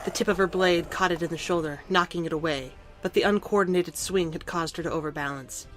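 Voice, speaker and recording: A woman's voice: on the low side, a little fast, music in the background, low-quality recording. A woman reading scripted story narration for an audio drama.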